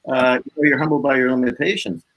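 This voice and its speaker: A man's voice: mid-range voice, little pitch movement, quick pace, a bit bright, poor audio. A man speaking as a musician guest in a casual interview, reflective and earnest in manner.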